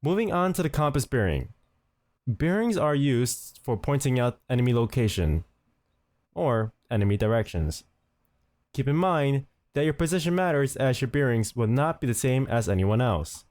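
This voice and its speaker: Man narrating a tutorial, lively pitch, dry. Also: normal speed, no room sound. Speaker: tutorial host. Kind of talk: scripted instructional narration.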